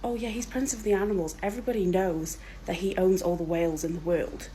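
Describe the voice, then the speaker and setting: A woman's voice: on the low side, brisk, slight background noise, low-quality recording. A woman from Wales telling a story to camera, acting out both sides of a conversation. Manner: animated, acts out both sides of the exchange.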